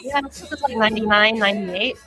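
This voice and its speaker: A woman's voice: fairly high pitch, a little fast, music in the background. A woman co-hosting a podcast, chatting casually about pop songs and films. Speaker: podcast host.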